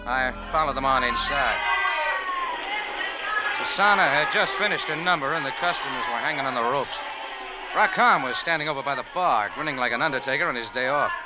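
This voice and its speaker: An actor playing a wry, hard-boiled American bar owner in a 1940s radio noir, deadpan and wisecracking. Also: male voice, mid-range voice, brisk, muffled recording, a loud crowd, lightly acted. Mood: wry narrating. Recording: old 1940s radio recording with music.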